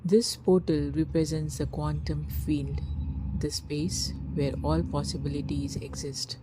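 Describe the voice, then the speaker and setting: A woman narrating a scripted guided meditation in a soft, breathy, husky voice, with a slow, soothing, hushed delivery and long pauses. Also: sits low, little pitch movement, noisy background.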